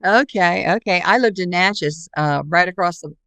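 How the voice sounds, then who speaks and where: A woman podcast host speaks in a casual, conversational interview style. Her voice is a little low, clear and resonant, recorded dry without room sound. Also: moderate pace, a bit bright, dry recording.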